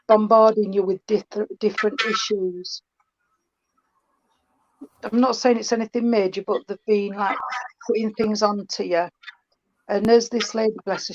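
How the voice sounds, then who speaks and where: A woman giving a spiritualist mediumship reading, talking warmly and calling the listener darling and sweetheart. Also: medium pitch. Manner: affectionate, calls the sitter darling and sweetheart. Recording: dull lo-fi stream with faint noise.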